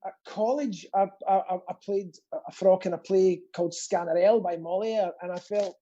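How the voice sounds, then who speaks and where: A middle-aged British man hosts a live quiz in a husky voice. The tone is mellow, and he banters casually, repeating phrases theatrically for comic emphasis. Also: high voice, moderate pace, highs cut off. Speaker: quiz co-host and pantomime dame performer.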